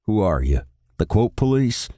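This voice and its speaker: A man voicing a smooth-talking Texan man, sad and resigned, with a low drawl. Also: sing-song, moderate pace, dark tone, no echo, studio quality. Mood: dry. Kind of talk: audiobook character voice.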